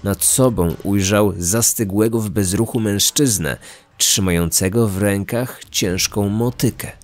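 A man narrating a scripted true-crime story in a measured, serious way. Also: fairly low pitch. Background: background music.

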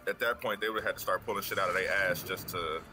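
A man's voice: deep voice, very fast. A man hosting a stream, chatting casually in slangy banter with a friend while gaming. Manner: relaxed slangy banter, talks over his friend. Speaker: streamer host. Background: game music and sounds.

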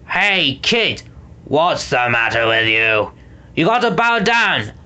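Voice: mid-range voice, very animated, slow, heavily acted. A storybook reader voicing a big, burly man who urgently bosses a kid around. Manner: loud, bossy, urgent. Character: big burly gruff male cheesebeard.

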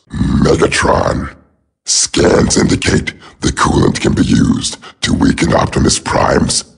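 An actor playing a cold, methodical giant robot soldier in a video game, deadpan and formal, with a raspy voice through a robotic filter. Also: male voice, a bass voice, heavily acted. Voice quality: raspy, gravelly. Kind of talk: video game voice acting, clipped reports.

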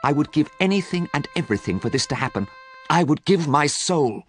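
A male reader performs a vain, petulant young man in a storybook. His pitch rides high and swings widely. He sounds anguished and pleading, with a dramatic, emotional delivery. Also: sing-song, a little fast, soft background music. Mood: sad, yearning.

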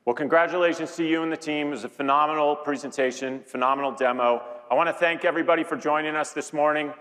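A man's voice: fairly high pitch, fairly even intonation, fast, a bit bright. Middle-aged man on a reverberant keynote stage. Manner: repeats words and phrases for emphasis. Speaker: chief executive co-presenting a keynote. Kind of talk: conversational keynote presentation. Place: large conference stage with audience. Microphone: lapel mic. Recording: reverberant large hall sound.

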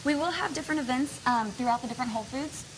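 A woman's voice: high-pitched, rapid-fire, background noise. Upbeat young woman demoing a recipe. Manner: upbeat, instructive, chatty. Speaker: cooking guest from a grocery store. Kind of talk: live TV cooking demo chat. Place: TV studio kitchen set.

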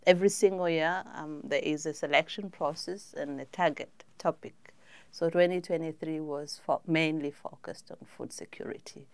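A woman's voice: sits low, gravelly, smooth, no echo. A woman in her forties from Rwanda, answering interview questions with occasional fillers. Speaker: associate professor. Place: indoor studio, plain backdrop.

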